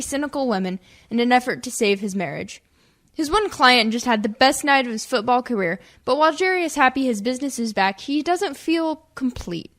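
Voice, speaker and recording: A woman hosting a radio comedy with scripted, clearly enunciated, showy presenter narration. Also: a little high, a bit bright.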